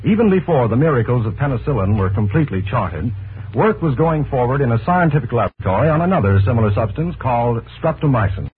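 1940s network announcer, American male: formal scripted delivery, animated intonation, thin band-limited broadcast sound. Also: medium pitch, fast, slight background noise. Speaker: radio announcer. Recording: tinny 1940s radio broadcast.

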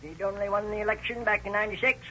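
A man's voice: sits high, little pitch movement, rapid-fire, sharp tone. An actor playing a half-deaf, grumbling farmer in his nineties in a folksy 1930s radio drama style. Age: 90s. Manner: folksy, querulous. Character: half-deaf nonagenarian farmer, grumbling about hard times. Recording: tinny, noisy 1930s radio recording.

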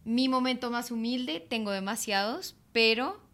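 A woman's voice: on the high side, unhurried. Chatty young woman storyteller. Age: 20s. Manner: chatty, animated storyteller, fillers like "o sea". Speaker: podcast co-host. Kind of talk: casual chat, storytelling.

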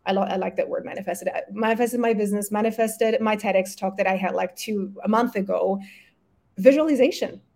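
A woman's voice: mid-range voice, darkish tone. A woman whose first languages are German and Polish, speaking as a guest health coach in a casual podcast conversation, earnest and motivational, often correcting herself mid-phrase.

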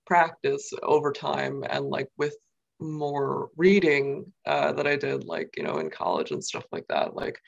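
Young New York woman, gravelly voice, rambling interviewee, slightly dull lo-fi sound. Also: extremely low pitch, lively intonation, measured pace. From New York, USA. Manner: rambling, many fillers and "like". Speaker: guest poet. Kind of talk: casual interview.

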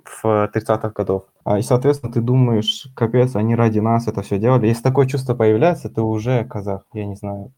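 Hesitant young man with low mellow voice. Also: fairly even intonation, fairly quick, hardly any pauses. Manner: hesitant, thinking aloud. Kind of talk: casual discussion.

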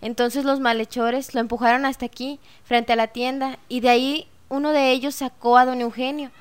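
A woman's voice: high voice, little pitch movement, moderate pace, clear, resonant tone, close to an everyday voice. An actress playing a woman shopkeeper with a Mexican accent, recounting what she witnessed step by step in a radio drama. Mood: grave recollection. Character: woman shopkeeper recalling a fatal shooting as eyewitness.

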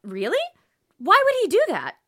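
A woman's voice: sits high, wildly swooping pitch, normal speed, fairly bright tone, full character voice. A woman playing a gushing, eager book review host in a scripted radio comedy.

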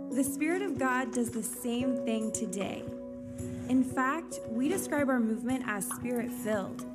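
Upbeat woman narrating over loud music. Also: a little high. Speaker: video narrator. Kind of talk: scripted narration.

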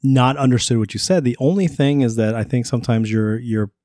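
A man's voice: medium pitch, fairly quick, dark tone, no echo, studio quality. A man in his thirties co-hosting a podcast in casual chat, talkative and reassuring, often laughing. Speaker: podcast co-host.

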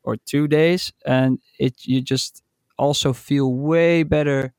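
A man, a DJ, chatting in a relaxed, rambling way on a podcast. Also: mid-range voice, slow, clear, resonant tone, dry recording, clean studio sound. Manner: relaxed, rambling, with fillers and laughs. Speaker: DJ and podcast co-host. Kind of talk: casual podcast chat.

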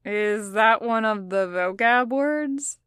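Female reader as easygoing young boy, playful and theatrical, teasing, higher-pitched, unhurried storybook delivery. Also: a bit bright, clear, resonant tone, dry recording, studio quality. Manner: playful, dramatic ribbing.

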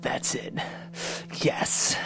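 A man's voice: extremely slow, a bit bright, in a whisper, soft background music. A man voicing a vain, volatile, desperate bodybuilder in a comedic script read, intense and profane. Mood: breathy relief.

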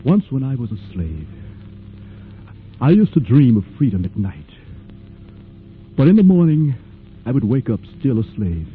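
A man's voice: mid-range voice, big pitch swings, a little slow, long pauses. A man acting an earnest, fearless former slave and freedom fighter in a solemn, declamatory 1940s radio drama style. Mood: resolute, impassioned. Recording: muffled, noisy vintage radio drama recording.

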